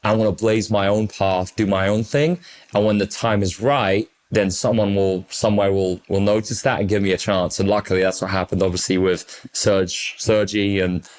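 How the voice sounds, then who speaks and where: A man's voice: on the low side, flat delivery, normal speed, a little mellow. A young English man, a basketball coach, talking in a casual interview, emphatic and fluent, repeating words for stress. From England.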